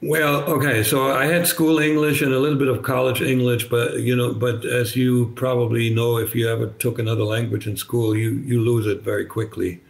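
An older man with a slight German accent, German native, telling his story as a podcast guest over a video call, halting with many restarts. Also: medium pitch, flat delivery, creaky. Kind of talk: conversational storytelling. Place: bright living room, indoors. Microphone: video call webcam.

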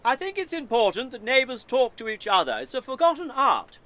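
An earnest British male neighbour acted by a woman in an audio comedy play. The voice is high and very animated in pitch. He is polite, mild-mannered and a little nosy. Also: fairly quick.

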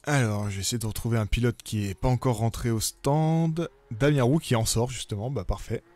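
A man giving relaxed live commentary on a sim racing event, with many hesitations and fillers. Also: mid-range voice, brisk.

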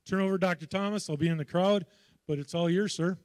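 A man's voice: high-pitched, fast, no echo, clean studio sound. A middle-aged man moderating an event, introducing the guest admiringly on a handheld mic while losing his voice. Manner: warm, admiring, a few restarts. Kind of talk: introducing a speaker. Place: panel table, conference room. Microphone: handheld microphone.

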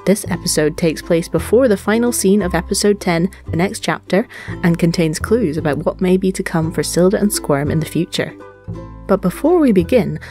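A woman's voice: lowish voice, mellow. A woman podcast creator reading a scripted introduction to an episode. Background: plucked guitar music.

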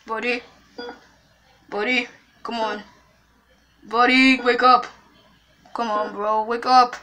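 A woman playing a young, anxious cousin in an amateur skit, soothing and pleading in halting, repeated phrases. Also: on the high side, level tone, pushed and bright, poor audio. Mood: concerned. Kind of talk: amateur improvised character skit. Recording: music behind the voice.

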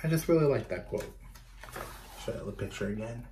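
A man's voice: medium pitch, lively intonation, warm tone, a little hiss or hum. Man reading a picture book to children. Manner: instructive, pausing to question and explain to young listeners. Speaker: children's storytime reader. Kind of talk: animated picture-book read-aloud with asides to children. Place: small bedroom, indoors.

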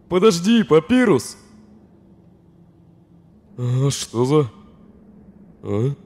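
A man's voice: medium pitch, dark tone, a little hiss or hum. A man voicing a weary, sardonic, protective skeleton in a comic dub, with a subdued, dry, sighing delivery. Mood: wry, puzzled. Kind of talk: dramatic fan comic dub. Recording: long hall reverb, faint music behind.

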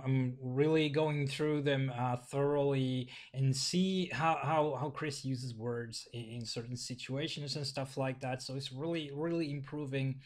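Young Finnish-accented male vlogger, non-native English, halting delivery full of fillers, bedroom desk webcam. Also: mid-range voice. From Finland. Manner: halting, repeats words, frequent fillers. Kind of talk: casual video commentary. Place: small bedroom.

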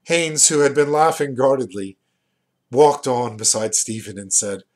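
A man in his fifties gives a literary reading at an unhurried, slow pace. His pitch sits in the middle for a man, and he sets phrases apart with deliberate, expressive pauses. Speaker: reader, poet and rare book seller. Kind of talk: literary audiobook-style reading of a novel. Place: small furnished room at home, indoors. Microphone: webcam or computer mic at desk distance.

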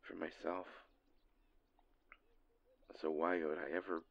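A man performing an intimate audio roleplay as a lovesick, insecure boyfriend, halting and breathy with a gravelly voice, often sinking into whispers. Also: sits low, flat delivery, dry recording, lo-fi sound, exaggerated voice. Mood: sad devotion. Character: lovesick, insecure boyfriend longing for his distant partner. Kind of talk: scripted intimate romantic monologue.

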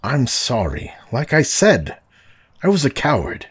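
An actor playing a remorseful middle-aged father, a starship captain, with a husky voice in an audio drama. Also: medium pitch, wildly swooping pitch, measured pace, full character voice.

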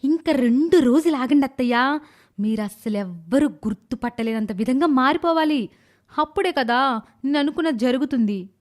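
A woman voicing a greedy, cruel young daughter-in-law in an animated moral story, calculating and dramatic. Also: high-pitched, moderate pace. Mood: cruel, gloating. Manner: calculating, dramatic, self-pitying when pleading to others.

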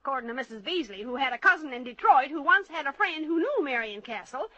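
Shrill, gossipy small-town busybody. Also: female voice, animated, fast talker, bright, forceful tone, no room sound, lo-fi sound. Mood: indignant. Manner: folksy, indignant, self-important. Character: gossipy, vain, easily offended small-town woman in her forties. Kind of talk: old-time radio comedy drama acting. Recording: vintage radio recording.

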